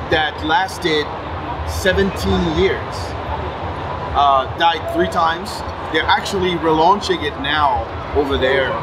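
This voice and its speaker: A man with a French accent, talking about his work in a lively, hands-moving way, his voice sitting a little high for a man. He is recorded at a convention booth with loud hall noise behind him. He sounds like he is in his forties. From France. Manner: animated, gestures while talking. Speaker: comic book writer and publisher. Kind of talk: casual interview at a convention.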